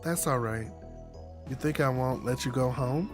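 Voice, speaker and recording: A performer reading a visual novel voices a calm, devoted young romantic partner in a gentle, measured, reassuring way. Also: mid-range voice, soft background music, close to an everyday voice.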